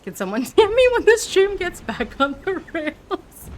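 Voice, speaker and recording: A woman's voice: very high voice, slow. A woman in her forties, from Canada, chatting casually and playfully with viewers on a livestream. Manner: chatty and expressive, teasing and playful.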